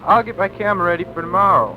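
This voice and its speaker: Guy doing young monster hunter, stiff formal lines, pretty high voice, loud music under it. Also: rapid-fire, narrow, tinny audio, natural voice. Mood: eager. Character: earnest young male monster-hunting adventurer.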